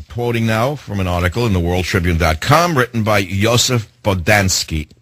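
A man hosting a talk radio show, delivering a sarcastic, indignant monologue with theatrical swings in pitch. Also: fairly bright tone, breathy edge, no echo.

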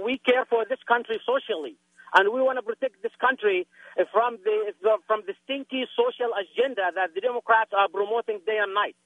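A man's voice: sits high, no echo. A man from Palestine, calling in to a radio show, earnest and insistent, often repeating himself. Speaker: caller. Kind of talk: phone-in call. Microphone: telephone. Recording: tinny phone line.

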